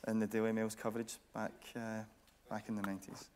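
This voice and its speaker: A man's voice: lowish voice, monotone, fairly quick, darkish tone. A man hosting a live on-stage interview, putting probing questions to a guest. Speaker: interviewer and event host. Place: radio theatre with a seated audience.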